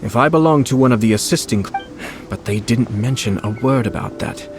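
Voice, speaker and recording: An actor playing a shrewd young clan lord in a video game, speaking in a formal, measured, polite manner. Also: male voice, mid-range voice, faint music behind, close to an everyday voice. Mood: composed, shrewd.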